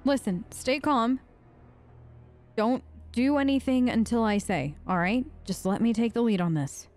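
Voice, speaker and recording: A woman's voice: on the high side, unhurried, darkish tone, soft background music. A woman improvising in character for a game let's play, sarcastic and defiant, with casual modern phrasing. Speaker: role-play let's-player.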